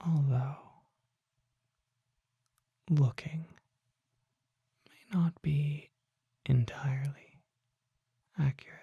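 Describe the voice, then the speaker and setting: A performer voicing a refined, mysterious vampire shopkeeper in a soft, breathy ASMR whisper, speaking formally with deliberate pauses. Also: medium pitch, steady tone, no room sound, dull audio, heavily acted. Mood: soft intrigue. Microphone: close microphone.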